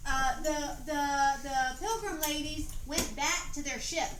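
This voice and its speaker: A woman's voice: high-pitched, fairly even intonation, slow, a bit bright. A woman with a Southern US accent reads a story aloud as narrator, breaking off to give stage directions to young performers. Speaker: narrator directing a children's dramatization. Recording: echoey room, noisy amateur recording.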